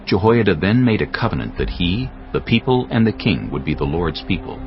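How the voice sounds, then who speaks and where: A man narrating scripture in a measured, solemn reading. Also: deep voice, sing-song, highs cut off, a little hiss or hum.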